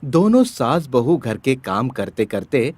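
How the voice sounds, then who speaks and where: A man's voice: medium pitch, big pitch swings, slow, darkish tone, dry recording. A man narrating a scripted story as the storyteller.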